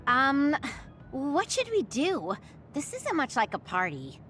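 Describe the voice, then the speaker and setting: A woman voicing a timid, sweet young girl in an anime-style game, speaking in a halting, polite way. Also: sits high, wildly swooping pitch, a bit bright, exaggerated voice. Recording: music behind the voice.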